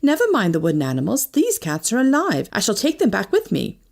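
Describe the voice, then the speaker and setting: A woman reader voicing an impulsive, soft-hearted young woman with an earnest, emotional manner in an audiobook. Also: medium pitch, huge pitch swings, a little fast, creaky, exaggerated voice. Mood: indignant. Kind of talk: audiobook character dialogue.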